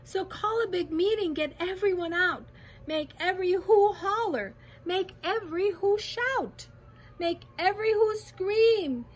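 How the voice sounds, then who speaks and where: Woman voicing a high-pitched, pleading storybook elephant. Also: very animated, sharp tone, full character voice. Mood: alarmed, pleading. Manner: emphatic, drawn-out calling. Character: kind, pleading male elephant, anxious and loyal.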